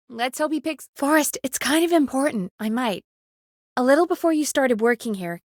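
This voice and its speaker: A woman's voice: sits high, dry recording, studio quality. A woman playing an earnest, urgent radio producer in a video game, conversational and pressing in naturalistic dialogue. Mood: businesslike.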